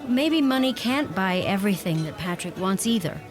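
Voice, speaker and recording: A young woman, played by an actress, speaks with an animated, varied pitch over music. She is a warm, curious newcomer in a radio drama. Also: moderate pace, close to an everyday voice. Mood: thoughtful. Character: warm, curious young American woman new to rural Ireland. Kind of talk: radio play acting.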